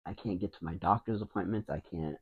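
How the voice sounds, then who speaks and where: A quiet man in his fifties talks low and mumbles, rambling and restarting as he goes. His fairly deep voice comes through a wireless mic into a phone, with a thin, phone-like sound. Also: a little fast, breathy edge. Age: 50s. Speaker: vlogger. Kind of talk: casual talking to camera.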